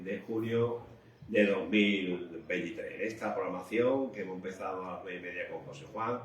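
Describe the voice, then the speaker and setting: A man hosting an unscripted local morning radio programme, hesitant and repeating himself. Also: on the low side. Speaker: radio host. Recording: roomy, distant mic with faint noise.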